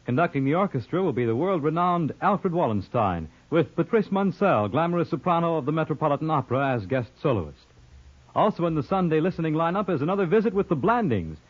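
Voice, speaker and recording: A man announces in the manner of 1950s network radio, reading scripted promos. His voice is fairly high and swings animatedly. The vintage recording is a little dull.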